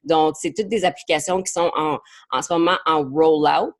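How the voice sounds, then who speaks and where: A woman's voice: low voice, moderate pace. A woman with an English Canadian accent whose first language is English, leading a live online training session as a marketing coach. She talks in a chatty way, slips English words into her French, and often hesitates and repeats words.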